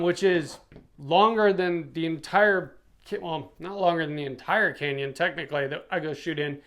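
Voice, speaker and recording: A man's voice: a little high, a bit bright. A middle-aged man reviewing gear for his channel in a casual, chatty way. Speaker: product reviewer and YouTube channel host.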